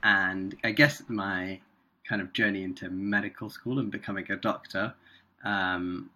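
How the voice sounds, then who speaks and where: A man in his thirties from South India and Singapore with an English accent, chatting casually as a guest neurosurgeon with frequent pauses. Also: sits low, bright, forceful tone. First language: Tamil. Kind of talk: casual interview chat.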